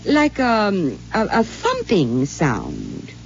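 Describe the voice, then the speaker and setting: An actress playing a friendly housewife with a breezy manner in a 1950s radio drama. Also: female voice, fairly low pitch, wildly swooping pitch, drawn-out words, vocal fry, a little hiss or hum, heavily acted. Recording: dull old radio broadcast recording.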